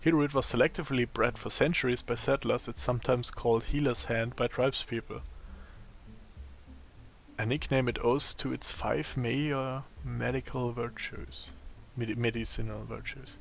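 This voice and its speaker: A man with a raspy, tired voice giving casual gameplay commentary, thinking aloud as he plays. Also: mid-range voice, steady tone. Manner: slow, thinking aloud while playing. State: voice strained from a long day of talking.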